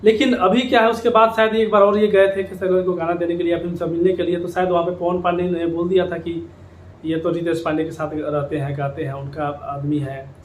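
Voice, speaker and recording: A man's voice: sits high, flat delivery, fairly quick. A man delivers informal commentary straight to his viewers. He often repeats a phrase to drive it home. The room rings with echo, and there is faint noise behind him. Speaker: YouTube commentator on Bhojpuri music industry. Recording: echoey room with background noise.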